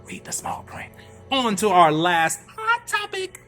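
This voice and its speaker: A man's voice: sits high, huge pitch swings, measured pace, bright, forceful tone. A man hosting a radio show, giving casual commentary on hot news topics in a theatrical, emphatic way and repeating phrases for effect. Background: music under the talk.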